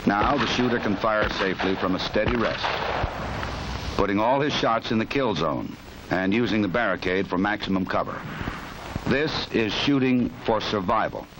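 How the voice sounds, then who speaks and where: A man with an American accent giving authoritative, measured scripted narration for a 1960s instructional film. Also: fairly low pitch. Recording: noisy 1960s film soundtrack.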